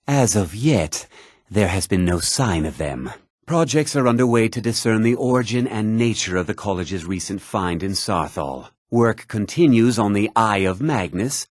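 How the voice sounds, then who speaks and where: Casting: learned college mage for a fantasy game. Lowish, animated pitch, measured and formal delivery. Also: male voice, slightly rough, no room sound, clean studio sound. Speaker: video game character. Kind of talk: scripted fantasy game dialogue.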